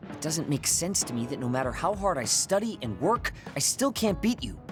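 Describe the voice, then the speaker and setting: Young anime game fighter dude, touchy pride, blunt n intense, pitch all over, music under it. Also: male voice, big pitch swings, normal speed. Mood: guarded, demanding. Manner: brusque, intense, self-critical. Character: proud, insecure young male platoon fighter. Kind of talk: English anime game dub acting.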